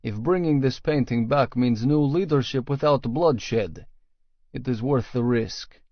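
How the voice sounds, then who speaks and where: A man's voice: medium pitch, unhurried, no echo. A man reading first-person fiction as an audiobook narrator, in a scripted storytelling style. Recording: dry, slightly dull studio sound.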